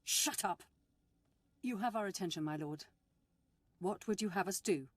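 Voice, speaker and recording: A woman playing a brisk, steely imperial warship officer in a video game, clipped and commanding. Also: mid-range voice, no room sound, lightly acted. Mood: sharp, obedient. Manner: clipped, commanding, deferential to superiors.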